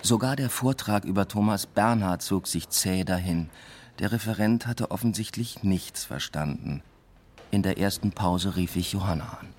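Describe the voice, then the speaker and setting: Low-voiced, weary academic narrator. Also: male voice, moderate pace, a little mellow. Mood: dry, observant. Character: weary, introspective male academic telling his own story. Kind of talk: scripted first-person radio drama narration.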